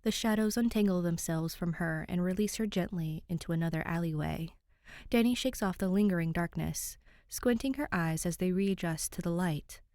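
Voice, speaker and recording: A woman's voice: lowish voice, normal speed, darkish tone, no echo, clean studio sound. A woman reading a story aloud as a scripted fiction narrator. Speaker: podfic narrator.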